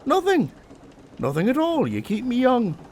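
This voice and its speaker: Animated, easygoing ship's captain. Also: male voice, extremely high pitch, normal speed, slight background noise, exaggerated voice. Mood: fond, teasing. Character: easygoing older male ship's captain, fond and teasing. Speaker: actor playing a ship's captain. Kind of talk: period audio drama acting.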